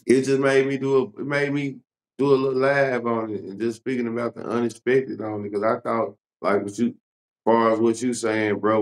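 A man hosting a casual interview as a blogger, talking in a slangy, conversational and reflective way. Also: mid-range voice.

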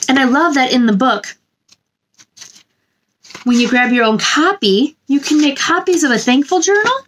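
Female children's read-aloud host, thirties, high voice, expressive and simple delivery for young viewers. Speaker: children's story time host. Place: small indoor room.

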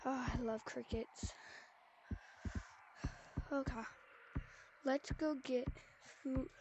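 A woman's voice: high voice, fairly even intonation, extremely slow, background noise. A woman playing a VR game, chatting playfully to herself with sighs as she roleplays a shopping trip. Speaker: VR game player. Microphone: VR headset mic.

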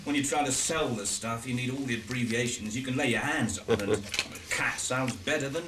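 A man acting in a radio drama as a boastful, touchy computer salesman with pompous, halting patter. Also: mid-range voice, noisy background, lightly acted.

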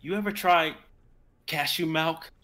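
An actor playing a goofy, curious stoned young character in an amateur script reading, musing in a slow drawl. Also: medium pitch, fairly bright tone, slight background noise, close to an everyday voice. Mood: sleepy curiosity. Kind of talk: amateur dramatic script reading over video call.

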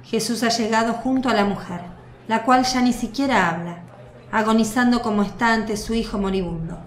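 Woman narrator for a radio drama, higher voice, echoey room with faint hiss. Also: moderate pace.

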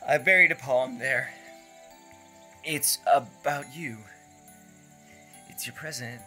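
A man's voice: medium pitch, slow pace, pushed and bright, faint music behind. A man playing an eager, awkward, lovestruck amateur poet in a horror audio drama, earnest and nervous. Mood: eager, proud.

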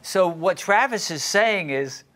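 An older man leads a studio Bible class, pitched a touch high with a bright, forward tone. He keeps the room involved with quick questions. Lapel mic, clean studio sound. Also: expressive. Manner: questioning, engaging, asks the class. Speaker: Bible study teacher. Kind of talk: leading a group Bible lesson. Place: TV studio set.

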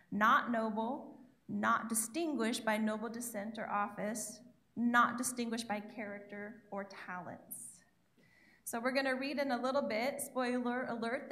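A woman's voice: a little high, a bit bright. A young woman giving a sermon from her notes on a church stage, chatty and self-deprecating, speaking into a handheld mic. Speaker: guest preacher. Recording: echoey church room.

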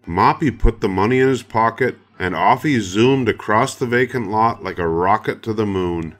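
A man's voice: fairly low pitch, moderate pace, a bit bright, soft background music. An older man narrating a children's story from a script. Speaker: storybook reader.

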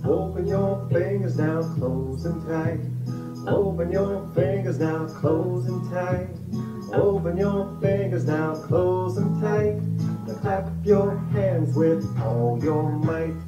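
A woman sings a children's action rhyme slowly, in a fairly low register. The delivery is repetitive and sing-song. Loud plucked guitar plays along in an echoey sound. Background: plucked guitar accompaniment.

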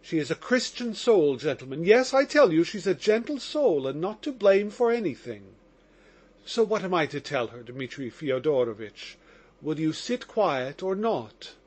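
A kindly, excitable old police captain, read by a man, coaxing a prisoner like a father would. The storybook voice sits fairly high and moves with lively inflection. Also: moderate pace. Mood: fatherly. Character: good-natured, fatherly older police captain. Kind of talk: audiobook character reading.

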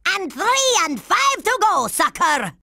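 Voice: extremely high pitch, very animated, slow pace, gravelly, sharp tone, no echo, studio quality, full character voice. A performer voicing a cocky, sassy cartoon kid operative in a video game, with a taunting delivery.

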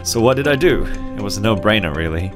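A young man who grew up in Singapore but now speaks with an Australian accent. He narrates a psychology video lesson in a lower, slightly deep voice that moves up and down a lot. The delivery is clear and conversational, with a light wry edge. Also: animated, quick pace, music in the background. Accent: Australian English, learned as an adult. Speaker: psychology teacher.

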